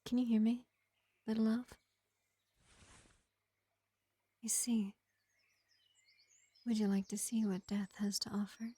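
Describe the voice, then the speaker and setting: A woman playing a gentle, ancient pacifist vampire in an intimate fantasy roleplay, speaking in an unhurried, soothing half-whisper. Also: medium pitch, husky, dry recording. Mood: calm, gentle. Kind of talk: slow intimate ASMR-style fantasy roleplay.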